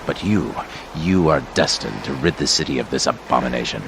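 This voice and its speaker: An actor playing a grave seer and mentor in a game cutscene, speaking in a portentous, deliberate way. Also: male voice, very deep voice, lively intonation, gravelly, background noise, exaggerated voice. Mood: grave, urging. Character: grave male seer and mentor urging revenge.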